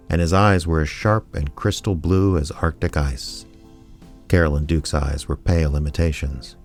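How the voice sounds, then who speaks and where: An actor playing a laconic small-town private investigator, narrating his case in a deadpan, understated radio drama style. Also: a bass voice. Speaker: narrator and lead character.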